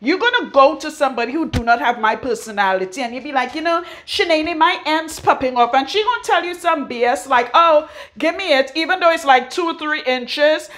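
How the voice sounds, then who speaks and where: A middle-aged Trinidadian woman giving a frank, forceful hair-care talk as a loctician in her salon. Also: sits high, lively intonation, fairly quick. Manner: blunt, forceful, frank, swears freely. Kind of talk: casual hair-care tutorial.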